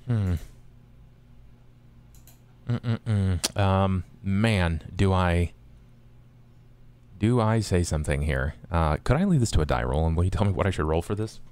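Man, deep low voice, casual tabletop player. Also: measured pace, pauses often, darkish tone. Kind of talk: casual game chat.

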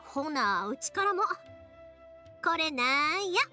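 Bright, high-pitched Kansai anime heroine. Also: female voice, takes it slowly, exaggerated voice. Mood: warm, cheerful. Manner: lively, friendly, expressive. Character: cheerful warm-hearted young woman fireworks maker, anime game heroine. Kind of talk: Japanese anime game voice acting. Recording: game dialogue over music.

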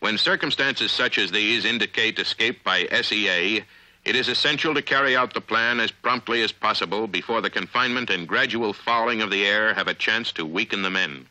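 An American man narrating a scripted 1950s military training film in a measured, authoritative, instructional way, on a vintage film soundtrack. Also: fairly low pitch, sharp tone, poor audio.

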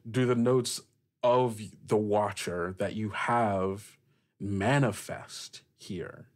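A man's voice: on the low side, slow pace, a little mellow. A man hosting a tabletop roleplaying game as game master, improvising descriptive narration and putting questions to the player.

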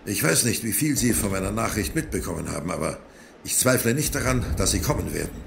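A man playing a steady, fatherly older military commander, calm and gravelly, in an audio drama. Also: fairly low pitch, fast. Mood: reassuring. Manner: calm, authoritative. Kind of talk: German audio drama acting. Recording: echoing, noisy battle ambience.

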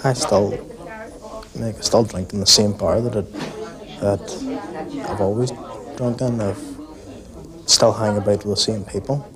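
Man, casual interviewee; low voice over pub crowd. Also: steady tone, unhurried, pauses often. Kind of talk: documentary interview.

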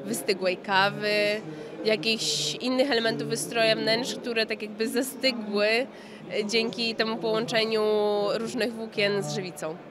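A woman with a slightly high voice answers as a company representative in an interview. She explains things with enthusiasm into a handheld microphone, while the busy hubbub of an exhibition hall is clearly heard behind her. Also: steady tone, a little slow. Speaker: company marketing manager being interviewed. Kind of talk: spontaneous trade fair interview. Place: indoor exhibition hall in front of backdrop. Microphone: handheld interview microphone just below frame. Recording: busy trade fair hall crowd noise. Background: crowd hubbub.

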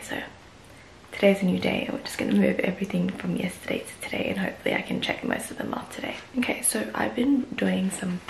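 Young woman vlogger, phone selfie mic, gravelly voice with whispers, roomy echo, soft music behind. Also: mid-range voice. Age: 20s. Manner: chatty, giggly, often whispering. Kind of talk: casual vlog chat. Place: small bedroom indoors, then outdoors on walks. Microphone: phone held at arm's length.

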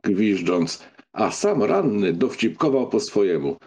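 A man narrating a literary audiobook in an expressive, scripted storytelling style. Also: on the low side, a little slow, husky.

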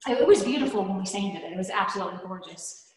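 A woman's voice: mid-range voice, animated, very fast, darkish tone. A woman, a homeowner, chatting casually on a house tour and often restarting her sentences. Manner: chatty, repeats words as she starts sentences. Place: large bare room, indoors. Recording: very echoey room with long reverb.

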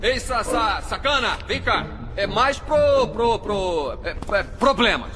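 Very high, stuttering cartoon sidekick. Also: male voice, sing-song, slow pace, noisy background, full character voice. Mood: hesitant. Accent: Brazilian. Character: timid, anxious, stuttering henchman underling. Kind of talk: dubbed children's cartoon acting. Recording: music behind, long reverb.

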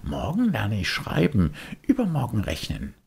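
A man's voice: on the low side, huge pitch swings, moderate pace, vocal fry, a little mellow, husky, voice pushed up, full character voice. A man voicing a naive, eager little wooden puppet boy who chatters impulsively in a children's storybook. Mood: eager, dreamy.

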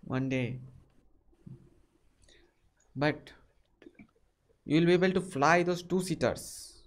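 A man's voice: on the high side, takes it slowly. Young Indian man, casual webcam chatter who keeps asking questions. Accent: Indian English. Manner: inquisitive, asks many short questions. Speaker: video chat host. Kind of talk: casual chat with strangers. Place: small bedroom.

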